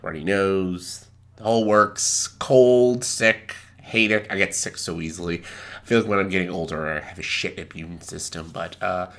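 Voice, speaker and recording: A man's voice: sits low, fairly even intonation, a bit bright. Congested man ranting about a movie. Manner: blunt and ranting, with quick asides. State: congested with a cold. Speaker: movie reviewer. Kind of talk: casual spoken movie review.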